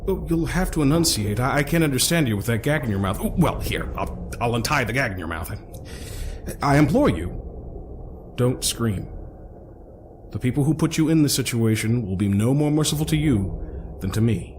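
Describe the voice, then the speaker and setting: Man acting a witty, animated scientist-adventurer, noisy backdrop. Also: mid-range voice, moderate pace, close to an everyday voice. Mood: reassuring, coaxing. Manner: chatty, theatrical, mock-formal, muttering asides. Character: witty, fussy, eccentric male scientist-adventurer rescuer. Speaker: actor in an audio drama. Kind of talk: dramatic monologue in an audio drama.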